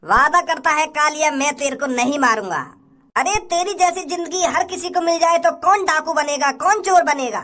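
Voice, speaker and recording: A woman voicing a greedy, boastful male kidnapper in a comic cartoon, with a taunting, theatrical, drawn-out delivery. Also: high voice, bright, forceful tone. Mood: gloating. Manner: taunting, drawn-out, sing-song gloating. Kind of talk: comic cartoon villain dialogue.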